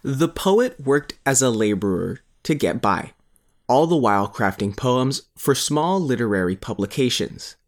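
A man's voice: medium pitch, sing-song, measured pace. Man reading a scripted news feature. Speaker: newspaper reporter reading his article.

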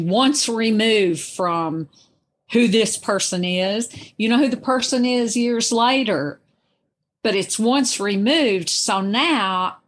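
Female interview guest, mid-pitched, unhurried, thinking carefully as she discusses fiction. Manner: thoughtful, reflective, deliberate. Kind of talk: conversational literary discussion.

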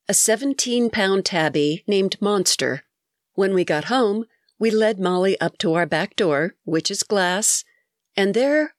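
Stuffy-voiced woman host, low and dry. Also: no room sound. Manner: reflective, gently wry storytelling. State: stuffy from a cold. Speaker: podcast host. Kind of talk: reading a personal essay.

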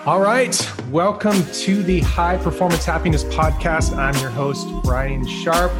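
An enthusiastic man hosting a podcast interview, his voice sitting a little higher than most men's. He talks with eager energy and laughs easily while introducing his guest. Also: measured pace, over loud music. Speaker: podcast host.

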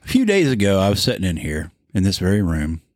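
A man's voice: low voice, wildly swooping pitch, fast, darkish tone, no echo, clean studio sound. A man co-hosting a podcast, rambling through crude casual banter and laughing at his own jokes. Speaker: podcast co-host.

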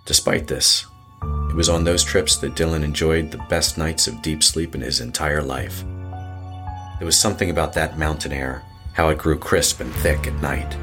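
Very deep solemn horror narrator performed by a man. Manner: measured, solemn, deliberate storytelling. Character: ominous, literary male narrator of a horror tale. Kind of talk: scripted horror audio drama narration. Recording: music under the voice.

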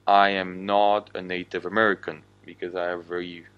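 Young slovak guy with a deep voice, talks blunt and swears a lot on his podcast. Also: flat delivery, a little fast, clear, resonant tone, highs cut off. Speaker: podcast host. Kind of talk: casual podcast monologue.

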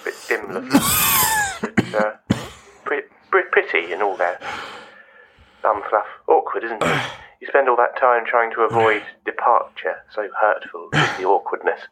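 An actor playing a wistful, controlling, quietly menacing man in a radio play, speaking in measured, fragmented phrases. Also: on the low side, expressive, husky, heavily acted, poor audio. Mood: wistful, detached. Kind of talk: radio play monologue and dialogue.

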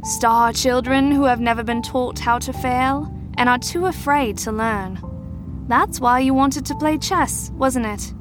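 A woman playing a shrewd, teasing adult mentor to troubled teens in an audio drama, with a measured, knowing, probing delivery. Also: sits high, music in the background. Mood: shrewd, needling.

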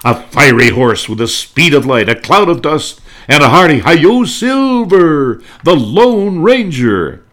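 A man's voice: on the high side, big pitch swings, slow, hardly any pauses, fairly bright tone, full character voice. A man voicing a stately, heroic old-time radio announcer with declamatory delivery. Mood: excited, heroic. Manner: declamatory, grand.

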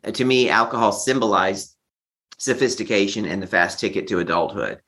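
A man's voice: fairly low pitch, fairly quick, a bit bright. A man in his fifties from Texas, telling his personal story candidly and reflectively as an interview guest. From Texas, United States. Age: 50s.

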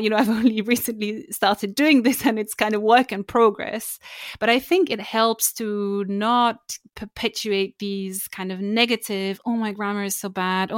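A woman with a German accent talks with an even, mid-range pitch. She answers thoughtfully and hesitantly, often restarting her sentences. The sound is dry and close. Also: dry recording. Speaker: guest. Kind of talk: reflective interview conversation.

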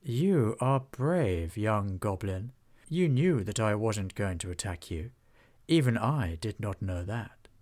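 A man's voice: on the low side, animated, darkish tone. A man doing a stately, gracious she-dragon. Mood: admiring. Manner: slow, measured and courteous. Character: gracious ancient female dragon, slow and stately.

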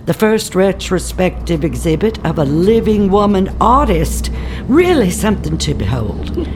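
An actress playing a genteel, wealthy elderly Southern belle, a former nurse, reflective and measured, in an audio play. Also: female voice, on the low side, noisy background. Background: bus or vehicle ambience.

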